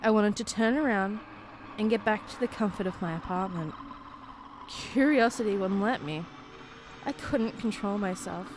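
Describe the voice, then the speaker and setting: A woman reading a scripted horror story as a narrator, in a suspenseful, deliberate way with short dramatic phrases. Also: mid-range voice, noisy background. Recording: music behind the voice. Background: background music.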